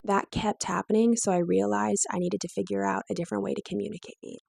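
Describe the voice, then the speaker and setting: A woman's voice: medium pitch, fairly even intonation, quick pace, gravelly, loud music behind the voice. A woman in her twenties with a weak, strained, breaking voice, telling her own story to camera in a candid, lightly self-mocking way. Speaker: vlogger.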